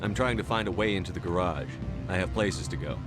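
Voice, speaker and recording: An actor playing a firm, no-nonsense military commander in a video game, direct, terse and authoritative. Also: male voice, deep voice, expressive, fairly quick, loud music behind the voice. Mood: neutral. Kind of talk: video game cutscene acting.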